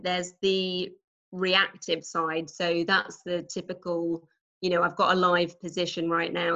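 An English woman with a Norwich accent, in her forties, talks through her work in an easy, chatty way, filling pauses with ums. Her voice sits a little low. The audio is dull and lo-fi, like a remote call. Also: creaky. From England. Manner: warm chatty explaining, frequent ums. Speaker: recruitment manager, guest. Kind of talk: conversational podcast interview.

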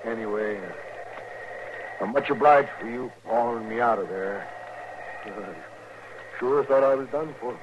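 Through a muffled, noisy old radio recording comes a man acting a sullen cowboy outlaw. His mid-pitched voice has a rural Western American drawl, folksy and distrustful, in the manner of 1950s radio westerns. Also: a little slow, pauses often, close to an everyday voice. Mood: sullen, puzzled. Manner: drawling, uneducated folksy speech. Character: sullen, distrustful cowboy cattle rustler under arrest.

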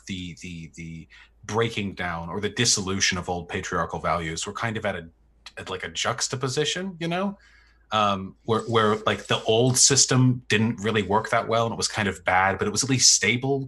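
A man with a low voice speaks with a bright, forward edge and a husky texture. He talks loosely and jokingly, swearing and restarting as he goes. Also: moderate pace. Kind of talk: casual discussion.

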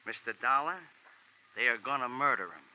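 A man's voice: mid-range voice, moderate pace, sharp tone. An actor playing a small, touchy male ex-jockey with pompous, wordy, stiffly formal street talk in a 1940s radio drama. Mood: earnest pleading. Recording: dull, hissy 1940s radio recording.

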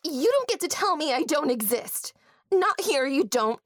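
A little fairy-tale princess, with a high, very lively voice. She is petulant and childish, pouting her indignation in a close, dry recording. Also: moderate pace, no room sound. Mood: pouting, cross. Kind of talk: audio fairy-tale drama acting.

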